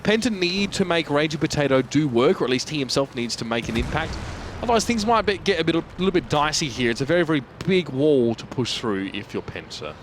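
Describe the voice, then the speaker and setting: Male play-by-play caster, quick and animated, faint hum behind. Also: fairly high pitch. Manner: energetic, fast, swooping emphasis. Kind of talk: esports match commentary.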